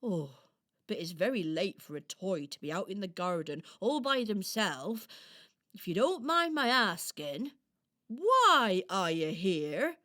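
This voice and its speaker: A woman voicing a sleepy, kindly hedgehog in a children's radio play, with dramatic, drawn-out exclamations. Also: mid-range voice, very animated, pushed and bright, pitched below the performer's own voice, heavily acted. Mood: kindly, welcoming.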